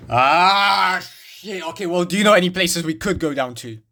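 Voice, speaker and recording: A man's voice: high voice, expressive, moderate pace, vocal fry, sharp tone, full character voice. A man acting as a protective, plain-spoken wasteland survivor in game cutscene dialogue. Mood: conciliatory.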